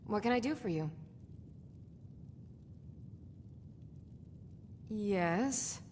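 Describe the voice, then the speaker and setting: A woman's voice: medium pitch, animated, a little slow, a little mellow, long reverb tail, slight background noise, natural voice. An actress playing an obliging, spirited young female tavern bard in a fantasy video game. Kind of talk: video game dialogue and folk ballad singing.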